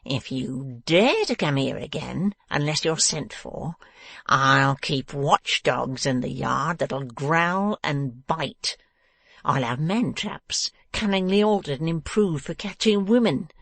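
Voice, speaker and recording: Man acting out a menacing, drawn-out dwarf villain. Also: fairly high pitch, lively intonation, vocal fry, fairly bright tone, no echo, exaggerated voice. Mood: roaring, threatening. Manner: menacing, emphatic, drawn-out delivery. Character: malicious, grinning male dwarf villain, taunting and domineering.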